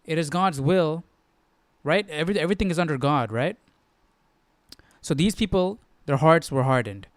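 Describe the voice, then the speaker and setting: Higher-voiced man musing casually, close dry sound. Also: no echo. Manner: musing, often checking with "right?". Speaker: Bible study live stream host. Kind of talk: casual live Bible study commentary.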